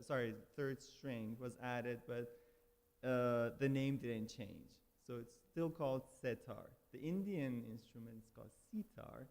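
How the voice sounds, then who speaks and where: A man's voice: mid-range voice, darkish tone. A man from Iran, speaking as a guest musician at a service, hesitant with many fillers and restarts. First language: Persian. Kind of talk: casual spoken introduction. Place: church sanctuary. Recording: reverberant hall.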